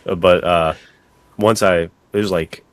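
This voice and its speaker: A man's voice: low-pitched, fairly even intonation, a little slow, no room sound. A man hosting a podcast in an informal, conversational way, chatting casually. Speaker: podcast host.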